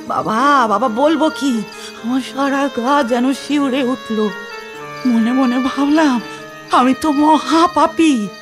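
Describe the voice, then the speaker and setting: A pious humble village woman in an audio drama, slightly high voice, pleading and tender, music behind. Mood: awed, wonderstruck. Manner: reverent, pleading, unhurried village speech. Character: devout, humble village woman ascetic, doting and wonderstruck. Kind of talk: dramatised audio story acting.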